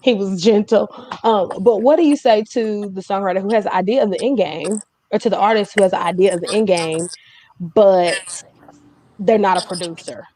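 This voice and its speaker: A woman's voice: medium pitch, normal speed, rough recording. A woman hosting a casual online interview, with a playful, teasing way of telling stories and asking questions. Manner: playful, teasing, animated storytelling. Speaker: host interviewer. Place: remote online video call.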